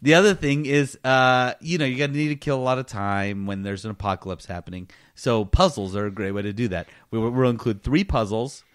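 A man's voice: medium pitch, fairly quick, hardly any pauses, clear, resonant tone. A man co-hosting a casual comedy podcast, chatting in a jokey way with frequent laughter. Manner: jokey, laughs often while talking. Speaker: podcast co-host. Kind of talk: casual comedic group chat.

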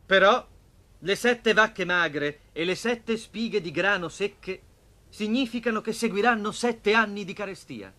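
A man playing a devout young Hebrew slave in a cartoon, speaking earnestly and in measured phrases. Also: sits high, pushed and bright. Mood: grave. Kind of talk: dubbed cartoon acting.

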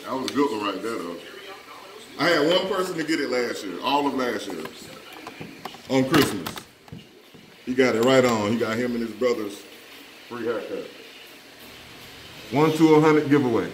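A man's voice: mid-range voice, animated, moderate pace, pauses often, noisy background. A middle-aged man hosting a giveaway as a barber, chatting casually and playfully with customers and often repeating himself. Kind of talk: casual vlog chat with customers. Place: barbershop, indoors. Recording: echoey room with shop chatter.

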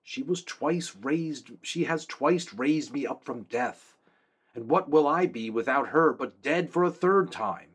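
A man's voice: medium pitch, huge pitch swings, measured pace, slightly rough, pitched above the performer's own voice, heavily acted. A man doing an anguished young king. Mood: pleading.

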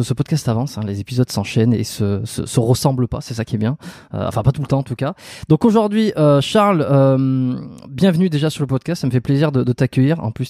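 A man hosts a relaxed interview chat, full of fillers and restarts. His voice is mellow and dark, close on a boom mic in a dry home room. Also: no room sound. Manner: hesitant, many fillers and restarts. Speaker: podcast host, osteopath. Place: home room with lamp, video call. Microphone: studio mic on boom arm, close.

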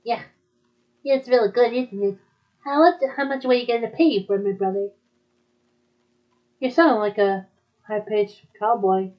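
A woman with a fairly high voice chats in a casual, conversational way. The recording is slightly dull and lo-fi. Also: measured pace, pauses often. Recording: dull, muffled lo-fi audio.

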